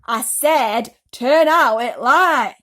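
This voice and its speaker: A woman voicing a bossy, impatient male centipede who scolds and shouts in a children's storybook. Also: sits high, very slow, pitched above the performer's own voice, full character voice. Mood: angry.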